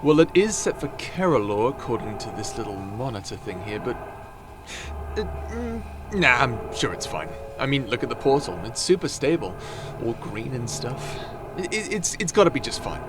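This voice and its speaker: A man performs a breezy adventurer god for a fantasy audio roleplay. His mid-pitched voice is highly animated, with casual, roguish bluster that stumbles now and then. There is slight noise in the recording. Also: moderate pace, close to an everyday voice. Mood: bold, brash.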